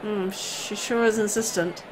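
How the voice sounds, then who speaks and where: A woman narrates a visual novel in an expressive storytelling way. Her voice sits at a medium pitch, with faint ambient noise behind it. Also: a little slow, low-quality recording. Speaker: video game player and narrator. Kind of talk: scripted narration, reading visual novel text aloud.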